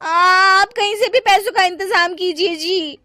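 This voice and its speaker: A woman's voice: very high voice, measured pace, heavy background noise, voice pushed up. A woman voicing a young spendthrift wife who pleads insistently in a moral story. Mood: insistent pleading.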